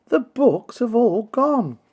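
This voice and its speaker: A man acts out an excitable young schoolboy from a children's storybook. The voice is lifted high and swings widely, crying out in short, earnest exclamations. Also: slow pace, husky, lo-fi sound, exaggerated voice. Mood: distressed.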